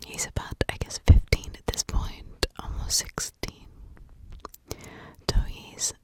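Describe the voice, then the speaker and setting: Woman, breathy whisper, ASMR true crime narration, slow pace, short halting phrases, frequent pauses. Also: a little mellow.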